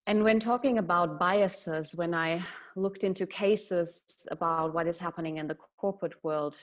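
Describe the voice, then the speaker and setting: A woman's voice: on the low side, moderate pace. A woman from Germany giving an earnest, explanatory talk on bias and inclusion. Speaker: speaker on diversity and inclusion.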